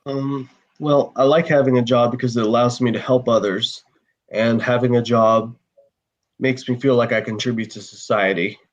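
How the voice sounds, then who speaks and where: A man answering a question on a panel, speaking plainly and deliberately with some halting. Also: medium pitch, fairly even intonation, dull audio.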